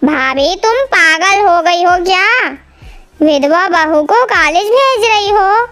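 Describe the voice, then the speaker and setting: A woman's voice: very high-pitched, expressive, takes it slowly, fairly bright tone, faint music behind, exaggerated voice. A woman voicing a scornful, superstitious older aunt bird in a cartoon, accusing and disapproving. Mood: indignant.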